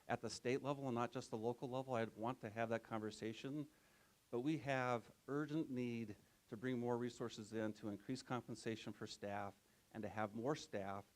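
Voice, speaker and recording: Man, panel speaker, earnest, persuasive, even tone. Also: mid-range voice. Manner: earnest, persuasive policy talk. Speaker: school district superintendent on a panel. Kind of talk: panel discussion. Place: event room with live audience.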